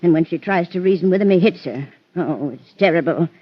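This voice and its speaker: An actress playing a fussy, scolding farm wife with a rural American accent and folksy exclamations, in old-time radio drama style. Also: female voice, lowish voice, a little fast, lightly acted. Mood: determined. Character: fussy, nagging, indignant farm wife, busybody neighbor. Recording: dull, lo-fi vintage radio recording.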